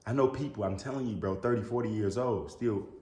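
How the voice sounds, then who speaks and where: A man's voice: on the low side, brisk, warm tone. Earnest man in an echoey room. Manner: earnest and preachy, repeats "you know what I'm saying" and "bro". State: sneezing, a bit sick. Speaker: YouTuber. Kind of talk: casual talk to camera. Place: indoors.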